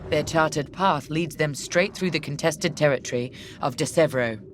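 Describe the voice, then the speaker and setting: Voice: medium pitch, moderate pace, a little hiss or hum, natural voice. An actor playing a stern, controlled Imperial commander in a space game, giving formal mission briefings in a clipped, authoritative way. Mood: cold, commanding.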